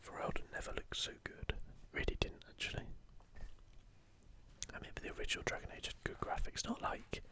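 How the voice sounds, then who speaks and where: A man speaking in a soft, breathy whisper, gently musing in a casual ASMR show-and-tell close to the microphone. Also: a little fast. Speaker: host of an ASMR gaming channel.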